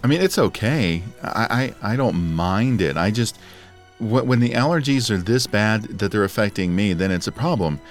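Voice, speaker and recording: A man's voice: on the low side, expressive, moderate pace, faint music behind. A man co-hosting a podcast in casual chat, playful and joking, his voice scratchy and dry from allergies. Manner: playful, joking, laughs often. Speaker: podcast co-host.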